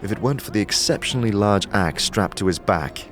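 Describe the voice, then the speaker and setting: A man narrating a fantasy audio drama from a script, in a measured, dramatic storytelling manner. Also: fairly low pitch, expressive. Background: music.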